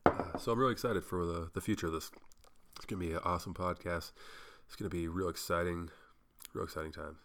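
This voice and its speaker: A man's voice: deep voice, fairly even intonation, fast talker, fairly bright tone, a little hiss or hum. A young man hosting a solo podcast, rambling casually and swearing, sounding a little congested. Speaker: podcast host.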